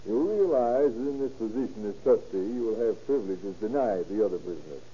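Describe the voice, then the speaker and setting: An actor playing a businesslike American jail officer, formal and matter-of-fact, in an old radio crime drama. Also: male voice, fairly low pitch, expressive, fairly quick, dark tone, natural voice. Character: businesslike male jail officer in charge of prisoners. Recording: dull, noisy old radio recording.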